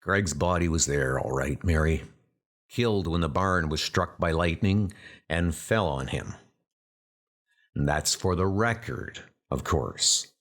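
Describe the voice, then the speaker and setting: A man's voice: deep voice, takes it slowly, fairly bright tone, exaggerated voice. A man playing a folksy, matter-of-fact small-town sheriff in a horror comic dub. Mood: grim, reflective. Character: small-town sheriff recounting grim old deaths.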